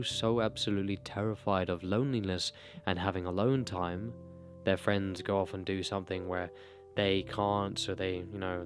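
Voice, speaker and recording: Young male vlogger: earnest, direct motivational delivery, lowish voice, close-miked in a bedroom over soft music. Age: young adult. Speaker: self-improvement YouTuber. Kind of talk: talking-head advice monologue. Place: small bedroom. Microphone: desk broadcast mic close to mouth.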